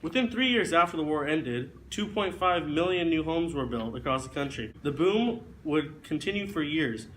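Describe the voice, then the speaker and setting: A young man reads a scripted news report. His voice sits a little high, and there is faint noise in the recording. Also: expressive, moderate pace, few pauses, fairly bright tone, rough recording. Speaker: guest news correspondent.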